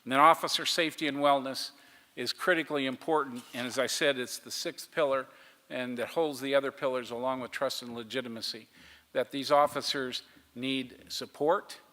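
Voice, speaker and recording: A man running a public meeting, speaking in a measured, preacherly way to the audience. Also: mid-range voice, steady tone, bright, forceful tone. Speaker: meeting facilitator. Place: large public meeting hall. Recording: reverberant hall, faint hiss.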